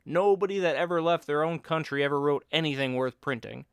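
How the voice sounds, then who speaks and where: A lazy, wisecracking friend who mock-lectures and needles his companion, as a man reading the story puts him on. The voice sits higher and much louder than the narration, bantering and bossy. Smooth, resonant tone in a dry, close recording. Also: fairly quick, no room sound, close to an everyday voice. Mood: mock lecturing.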